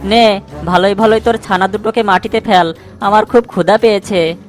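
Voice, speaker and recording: A woman acts out a sly, greedy fox from an animated fable. The voice sits at a middle pitch and taunts like a bully. Faint music plays behind it. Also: a little fast, natural voice. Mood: menacing. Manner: threatening, taunting. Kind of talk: animated moral story voice acting.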